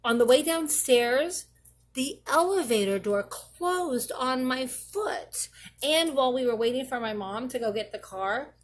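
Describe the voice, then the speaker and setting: A woman reading a picture book aloud to children, theatrically, with drawn-out emphasis. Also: high voice, animated. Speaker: children's story reader. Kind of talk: expressive read-aloud picture book narration.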